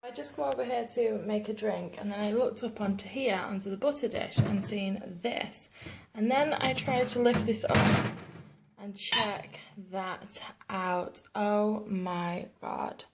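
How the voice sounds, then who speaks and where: A woman's voice: medium pitch, unhurried, gravelly, heavy background noise. A young woman from England, chatting casually in a daily vlog at home, her voice nasal from a blocked nose. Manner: chatty and excitable. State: congested, nasal. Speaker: vlogger. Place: home, indoors.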